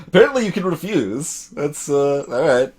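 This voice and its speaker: A man hosting a video game let's play, mixing acted dialogue with joking, digressive asides. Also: fairly high pitch. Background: soft game music.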